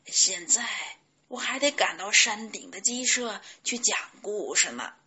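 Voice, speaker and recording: Woman voicing a slow, weary old grandfather rooster. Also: medium pitch, expressive, bright, forceful tone, exaggerated voice. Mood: gentle, grateful. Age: older. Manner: slow, sighing, chanting delivery.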